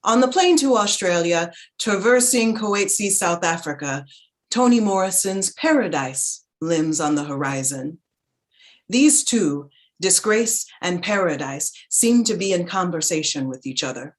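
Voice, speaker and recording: A woman giving a dramatic reading of a literary essay in a measured, reflective, articulate manner. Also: fairly low pitch, expressive. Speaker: reader in a staged reading.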